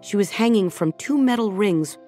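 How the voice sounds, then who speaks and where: Middle-aged woman reading a kids' story, soft and measured, music playing under her. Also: mid-range voice, animated. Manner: gentle, measured storytelling with soft dips in volume. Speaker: audiobook narrator. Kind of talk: scripted storybook narration for children. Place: recording studio. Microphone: close studio microphone. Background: background music.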